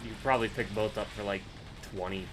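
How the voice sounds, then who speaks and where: A man's voice: on the low side, steady tone, fast talker, noisy background. A man hosting a co-op gameplay video, chatting casually and rambling with plenty of "like" and "uh". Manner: rambling, full of "like" and "uh". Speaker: gaming channel host.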